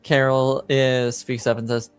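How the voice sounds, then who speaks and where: A man with a smooth, clear voice narrates as game master and voices dialogue off the cuff. He speaks slowly and haltingly, searching for words, with faint music behind him. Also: mid-range voice, little pitch movement, dry recording. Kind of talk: improvised tabletop narration and character dialogue.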